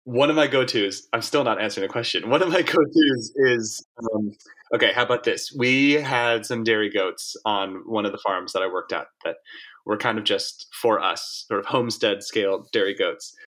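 A man's voice: fairly low pitch, normal speed, fairly bright tone, poor audio. A man from Hong Kong who was raised there, a farmer being interviewed, talking casually in long, rambling answers full of fillers and restarts.

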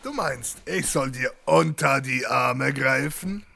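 A man playing an excitable, half-deaf, pun-loving police inspector in a comedic audio drama. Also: medium pitch, slow pace, gravelly, exaggerated voice. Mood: teasing. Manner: loud, punning, exclamatory.

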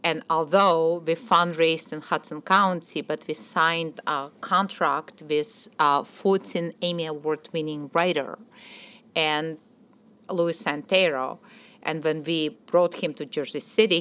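Slow low-voiced woman. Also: fairly even intonation, a bit bright. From Ukraine and Belarus. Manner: hesitant, many fillers and restarts. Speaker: guest, theater producer. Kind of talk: radio interview.

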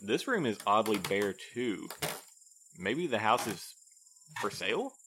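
An actor playing a prim, by-the-book postmaster in an audio drama, with formal, fussy, precise speech. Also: male voice, on the low side, very animated, a little slow, noisy background. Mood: measured, curious. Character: prim, by-the-book male postmaster, curious and pedantic. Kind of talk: naturalistic full-cast audio drama.

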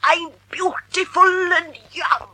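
A woman's voice: very high-pitched, fairly even intonation, slow, sharp tone, heavily acted. A woman acting a vain, murderous aging villainess clinging to youth, defiant and frantic, in old radio drama style. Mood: desperate denial. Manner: defiant, imperious, increasingly frantic.

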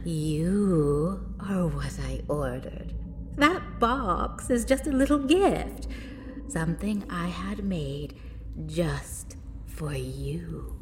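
A woman's voice: lowish voice, animated, very slow, slight background noise. An actress playing an ancient, demented female inventor in an audio drama, doting and manic, savoring her words theatrically. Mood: tender, sinister. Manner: theatrical, savoring words, breathy gasps and laughter.

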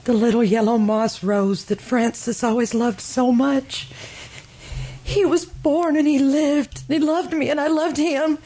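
Woman acting a high-voiced, slow, grieving widow. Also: steady tone, mellow. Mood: anguished. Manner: halting, wistful, sorrowful. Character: grieving, fragile widow clinging to memories. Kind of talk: old-time radio drama acting.

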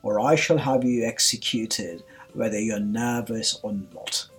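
A woman voicing a short-tempered, blustering male king in a storybook, sharp and imperious as he commands. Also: high-pitched, big pitch swings, slow pace, voice pushed up, full character voice, rough recording. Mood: angry, sharp.

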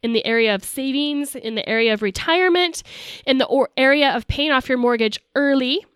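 A woman's voice: high voice, brisk, a bit bright, smooth, dry recording, studio quality. A young adult woman co-hosting a casual podcast, a little nasal from a cold, talking with enthusiasm. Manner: enthusiastic, talks in long lists. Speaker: podcast co-host.

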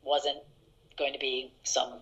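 A middle-aged actress telling stories in an interview, chatty and laughing, with many fillers and restarts. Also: female voice, little pitch movement, slow, a bit bright, dull audio. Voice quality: deeper voice. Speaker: actress guest. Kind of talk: casual interview anecdotes.

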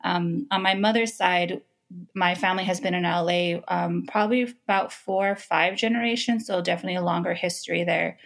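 Creaky-voiced American woman, lowish and reflective. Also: fairly even intonation, fairly quick. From Los Angeles, United States. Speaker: interview guest. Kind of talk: personal storytelling in casual talk.

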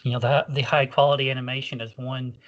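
A man's voice: medium pitch, level tone, quick pace, muffled recording. A man co-hosting a casual fan podcast. He rambles, packs in "like" fillers and often restarts his sentences. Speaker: podcast co-host.

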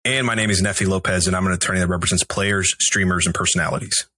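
A Texan man talks loosely and chattily as a podcast co-host. His tone is bright and a little tense, and the mic is close and dry. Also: low-pitched, steady tone, quick pace, no echo. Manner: chatty, full of restarts and fillers. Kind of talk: podcast interview.

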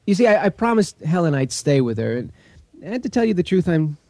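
A shaken husband in a radio play. His voice is mellow and very mobile in pitch, close and dry. He stammers and repeats himself anxiously. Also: sing-song, fairly quick, no room sound. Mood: shaken, apologetic. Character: shaken, relieved husband who escaped a plane crash. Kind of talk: radio drama acting.

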